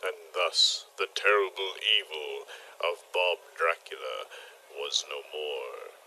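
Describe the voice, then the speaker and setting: A man's voice: very deep voice, takes it slowly, bright, forceful tone, full character voice, rough recording. A man playing a solemn narrator of a spoof horror tale, grave and portentous, drawing out his words. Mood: grave, foreboding. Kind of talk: scripted melodramatic narration.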